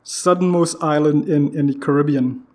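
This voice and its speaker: A man's voice: on the high side, steady tone, normal speed. A man hosting a casual, conversational interview in an informal way. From Trinidad. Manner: informal, peppered with "you know". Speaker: podcast host, interviewer.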